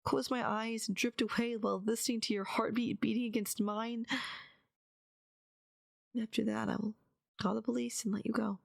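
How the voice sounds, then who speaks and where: A woman playing a despairing, lovesick yandere kidnapper in an intimate audio roleplay, halting and pleading, often slipping into half-whispers. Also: on the high side, level tone, creaky, dry recording, studio quality. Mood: pleading, resigned. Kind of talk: intimate ASMR-style dramatic audio roleplay.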